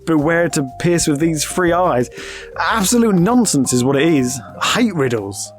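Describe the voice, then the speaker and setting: Male actor as shrewd, easygoing spacefarer, fairly high voice, wry chatty delivery, faint music, audio drama. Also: lively intonation, moderate pace, close to an everyday voice. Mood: defensive. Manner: conversational, wry.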